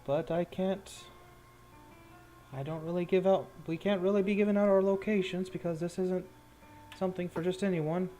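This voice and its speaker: A man's voice: sits high, fairly even intonation, moderate pace, dark tone, soft background music, pitched above the performer's own voice, close to an everyday voice. A man voicing an evasive, polite merchant scout in a tabletop game, hesitant as he brushes people off.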